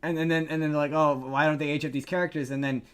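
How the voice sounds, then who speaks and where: A man's voice: on the high side, little pitch movement, fast talker, clear, resonant tone. Casual male podcast host, rambling. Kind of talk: casual entertainment news chat.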